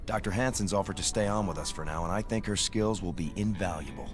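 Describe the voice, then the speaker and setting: Dutiful, low-voiced starship officer, acted by a man. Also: quick pace, music in the background, close to an everyday voice. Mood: businesslike. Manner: formal briefing delivery. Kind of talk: video game cinematic acting.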